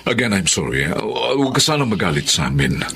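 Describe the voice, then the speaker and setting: An actor playing an upright, apologetic gas station owner in a Filipino melodrama, speaking emphatically. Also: male voice, low voice, big pitch swings, quick pace, a bit bright, slight background noise.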